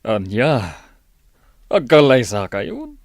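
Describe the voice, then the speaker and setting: A man playing a calm, cautious father in an Ilocano radio drama, measured and admonishing, with an Ilocano accent. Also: mid-range voice, no room sound, clean studio sound. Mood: cautioning. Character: calm, cautious father advising his daughter.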